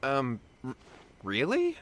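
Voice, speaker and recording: An actor playing an earnest, deferential young martial artist in an anime video game, with an anxious, pleading and hesitant delivery. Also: male voice, medium pitch, wildly swooping pitch, slow, fairly bright tone, a little hiss or hum, full character voice. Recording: music behind the voice.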